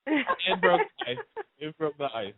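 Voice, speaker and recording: A man's voice: on the high side, big pitch swings, moderate pace, phone-quality sound. A man chatting casually as a radio guest, telling stories and repeating phrases for emphasis. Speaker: radio host being interviewed. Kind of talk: casual chat, storytelling.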